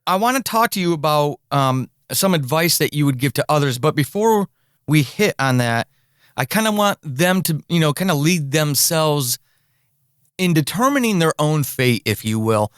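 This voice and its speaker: A man hosting a podcast interview, talking conversationally and deliberately as he works toward his questions. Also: fairly high pitch, expressive, a bit bright, clean tone, dry recording, clean studio sound. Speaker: podcast host.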